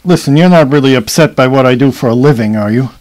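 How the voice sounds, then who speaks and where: A smooth male corporate lawyer in a radio play, acted by a man. He is flirtatious and pushy, coaxing between small hesitations, at an ordinary middle pitch. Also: fast talker, a little mellow, close to an everyday voice. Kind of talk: radio theatre acting.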